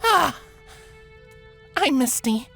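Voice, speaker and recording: A man's voice: very high voice, sing-song, drawn-out words, gravelly, bright, forceful tone, faint music behind, pitched above the performer's own voice, full character voice. A man voicing a nervous young unicorn mare who tries a grand archaic incantation and falters with fillers. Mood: flustered.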